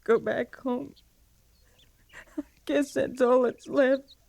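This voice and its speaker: An actress playing a bitter, wounded widowed homesteader in an old-time radio western, speaking haltingly and with intense feeling. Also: female voice, sits high, fairly even intonation, vocal fry, darkish tone, exaggerated voice. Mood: bitter, anguished. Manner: halting, emotionally intense, accusing. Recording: old radio drama recording.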